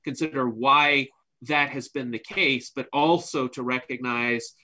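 A man in his thirties moderating an online panel in formal, measured phrasing over a webcam. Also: mid-range voice, steady tone, pushed and bright. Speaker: host and moderator. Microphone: webcam on video call.

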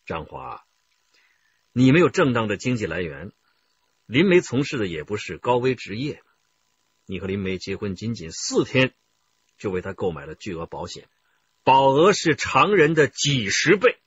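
A man's voice: on the low side, very animated, normal speed, pauses often, fairly bright tone, lo-fi sound, slight background noise. A man playing a firm, authoritative police interrogator questioning in a radio crime drama. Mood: stern. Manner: authoritative, probing.

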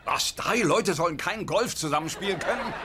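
A man's voice: sits high, lively intonation, fairly quick, a crowd in the background. An actor playing a cranky, eccentric, scheming old father in a dubbed sitcom. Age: older. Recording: very noisy TV sitcom soundtrack.

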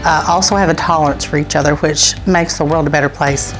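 A woman with a lowish voice answers interview questions calmly and deliberately. Music plays clearly underneath her voice. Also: low-quality recording.

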